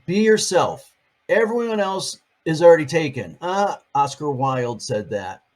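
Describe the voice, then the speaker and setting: A man's voice: on the high side, lively intonation, measured pace, darkish tone. An older man reading a picture book aloud to his grandchildren, adding chatty, playful asides between pages.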